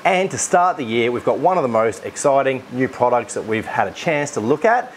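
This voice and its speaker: A man's voice: mid-range voice, sing-song, fairly quick, a little hiss or hum, rough recording. An Australian man hosting a product unboxing. He talks with enthusiasm and often restarts his sentences with fillers. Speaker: aquarium shop host.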